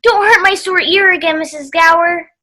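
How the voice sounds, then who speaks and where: A woman's voice: very high voice, normal speed, bright, forceful tone. A woman playing an earnest, frightened young boy in an old-time radio play. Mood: frightened, pleading. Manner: pleading, earnest.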